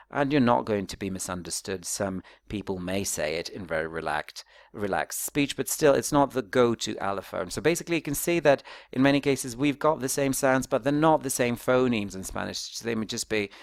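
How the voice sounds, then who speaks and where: A man with a fairly low voice gives an informal academic lecture, recorded close and dry. He explains things step by step in a conversational, teacherly way, often checking in with the listener. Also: gravelly, dry recording. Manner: conversational, didactic, checks in with rhetorical questions and tags. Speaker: academic presenter, phonetics researcher.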